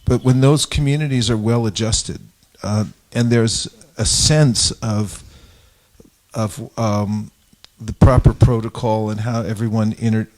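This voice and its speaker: A man with a fairly low voice takes part in a spiritual discussion as a teacher. He explains his observations informally, feeling his way through sentences in a reflective, halting manner. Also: fairly even intonation, darkish tone. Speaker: religious teacher in a group discussion.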